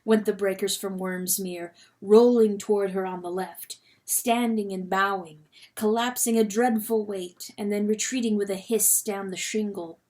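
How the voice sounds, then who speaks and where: A woman reading a novel aloud as dramatic narration, expressive and full of suspense. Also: mid-range voice, unhurried, hardly any pauses. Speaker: audiobook reader.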